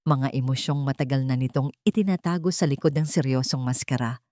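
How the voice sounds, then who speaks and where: A woman's voice: a bass voice, fairly quick, mellow, no echo, studio quality. A woman with a Filipino accent narrating a scripted dramatic story.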